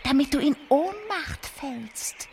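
A woman's voice: high voice, lively intonation, slow pace, slight background noise, exaggerated voice. An actress playing a sly old wicked queen in a children's radio play, with a wheedling, theatrical manner. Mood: indignant.

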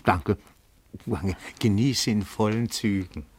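An actor playing a timid yet nosy, pedantic petit-bourgeois detective in a radio play, speaking in a meticulous, florid, deferential way. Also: male voice, fairly low pitch, slow pace, breathy edge, heavily acted. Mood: polite, earnest. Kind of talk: German radio play dialogue.

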